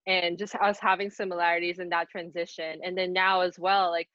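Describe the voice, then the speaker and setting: Young filipino-raised woman chatting on a podcast, kinda low voice, dull dry lo-fi sound. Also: little pitch movement, brisk, fairly bright tone, no room sound. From Philippines. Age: 20s. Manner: earnest, upbeat, many fillers. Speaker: podcast co-host. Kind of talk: casual chat.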